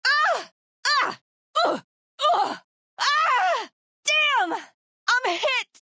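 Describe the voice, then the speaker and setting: A woman's voice: extremely high pitch, animated, extremely slow, pushed and bright, no room sound, studio quality, exaggerated voice. A woman playing a foul-mouthed, sardonic ex-sheriff turned caravan guard in a video game, blunt and folksy in her speech. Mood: alarmed, shouting. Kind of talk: video game character voice lines.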